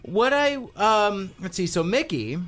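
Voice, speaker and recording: A male radio host chatting loosely in a studio, his speech wandering and full of restarts. His voice sits a little high and swings up and down with animated energy. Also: a little slow. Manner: rambling, full of fillers and restarts. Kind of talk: casual studio banter.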